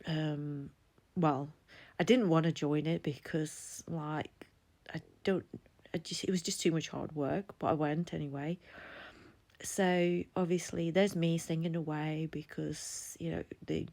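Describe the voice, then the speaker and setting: Low-voiced woman vlogging. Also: fairly even intonation, measured pace, vocal fry, darkish tone. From Latvia. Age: 40s. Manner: chatty, candid, laughs between thoughts. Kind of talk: casual personal commentary.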